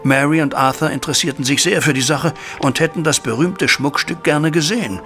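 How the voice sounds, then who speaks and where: Worried middle-aged banker dad in an audio drama, creaky voice, formal careful telling, music behind. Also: male voice, fairly high pitch, a bit bright. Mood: grave, agitated. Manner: formal, deliberate storytelling. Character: troubled widowed banker, worried disappointed father. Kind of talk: German audio drama acting, recounting events.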